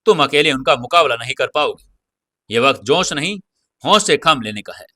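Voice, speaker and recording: A man's voice: mid-range voice, expressive, normal speed, bright, forceful tone, no room sound, clean studio sound. A man playing a cool-headed, condescending young man who scolds in a controlled way, in a dramatized audio story. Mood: cold, scolding.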